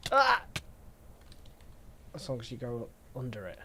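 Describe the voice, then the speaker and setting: A man's voice: mid-range voice, wildly swooping pitch, a little slow, bright, forceful tone, slight background noise. A man with a slightly stuffy voice chatting casually as a live game streamer, rambling with wry asides and mild swearing. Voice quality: stuffy, congested. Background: music.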